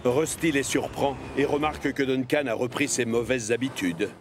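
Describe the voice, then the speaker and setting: A man's voice: medium pitch, normal speed, noisy background. A man narrating a scripted children's cartoon story. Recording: music behind the voice.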